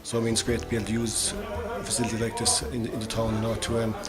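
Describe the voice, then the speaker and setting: An Irish man gives interview answers at a brisk clip with little melody in his voice. He often stammers and repeats words as he goes. Loud music plays behind him. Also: fairly low pitch, monotone. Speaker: community radio development officer.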